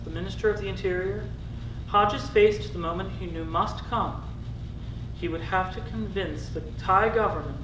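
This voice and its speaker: A volunteer reader with a medium-pitched voice, reading a nonfiction book aloud. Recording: distant echoey room mic, rumbling noise.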